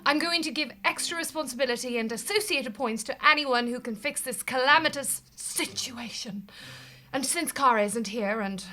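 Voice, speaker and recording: An actress playing a melodramatic, bossy and overwrought office manager in a scripted audio comedy. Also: female voice, high voice, moderate pace. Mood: bossy, decisive. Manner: theatrical, swings from panic to forced calm.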